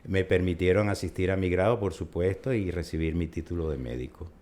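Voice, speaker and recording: A man's voice: sits low, a little fast, a little mellow. An older man with a Venezuelan accent, a urologist guest recounting his career in a conversational interview. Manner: chatty storyteller, recounts at length.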